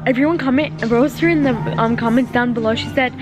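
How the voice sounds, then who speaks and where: A woman's voice: a little high, music in the background. A young woman filming a shopping vlog in a mall, teasing and giggly, talking into a handheld camera. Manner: teasing, giggly, quick to laugh. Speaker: vlogger, camerawoman. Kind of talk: casual chat. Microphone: handheld camera at arm's length.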